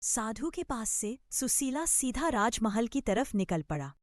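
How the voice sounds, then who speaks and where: A woman's voice: a little high, very animated, unhurried, noisy background. A woman narrating a scripted story.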